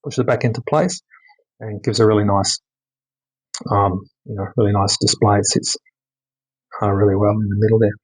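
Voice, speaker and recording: A man giving a casual, unscripted hands-on technical demonstration, speaking haltingly and often repeating words for emphasis. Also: on the low side, steady tone, breathy edge, poor audio. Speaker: electronics hobbyist presenting a radio mod.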